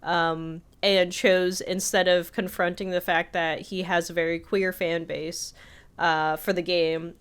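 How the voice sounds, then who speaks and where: A woman with a slightly low voice talks as a podcast co-host. She tells anecdotes in a loose, conversational style, slipping into quotes of what people said. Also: flat delivery, a little slow, smooth. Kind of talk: casual chat, telling anecdotes.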